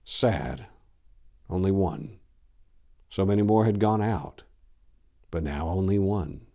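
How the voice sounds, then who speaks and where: A man with a low voice gives a dramatic reading as narrator. His delivery is brooding, deliberate and theatrical. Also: creaky, warm tone. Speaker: narrator and channel host.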